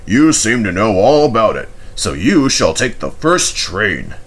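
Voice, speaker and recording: A man's voice: lowish voice, very animated, a bit bright, full character voice. A man voicing a stern, authoritative railway controller in a storybook, speaking firmly. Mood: approving. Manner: firm, brisk authority.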